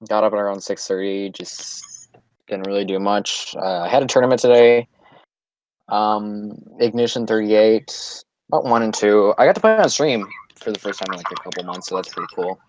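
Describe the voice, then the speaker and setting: A man's voice: fairly low pitch, normal speed, low-quality recording. A man on a group voice call talking about his day in a laid-back, matter-of-fact way, with fillers. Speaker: voice call regular and tournament player. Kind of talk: casual chat.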